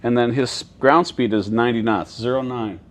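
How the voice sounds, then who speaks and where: A man's voice: medium pitch, steady tone, moderate pace, slight background noise. Plain-spoken man explaining things step by step. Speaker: air traffic controller. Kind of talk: casual walkthrough of equipment. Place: inside an airport control tower.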